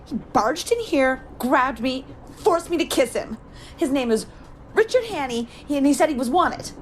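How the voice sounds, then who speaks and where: High, prim, indignant lady played by a woman. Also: animated, a little slow. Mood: defiant, accusing. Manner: haughty, formal, exclamatory. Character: prim, indignant, defiant lady train passenger. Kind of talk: amateur audio play acting. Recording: faintly noisy home-device recording.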